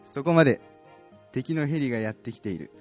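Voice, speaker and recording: A man's voice: medium pitch, animated, a little fast, soft background music. A man reading narration for an amateur radio drama.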